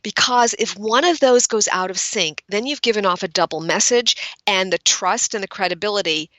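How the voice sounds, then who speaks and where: A middle-aged woman from Brooklyn with a General American accent, talking as an executive speech coach in a podcast interview, crisp and articulate. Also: mid-range voice, moderate pace, pushed and bright, no echo. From Brooklyn, New York.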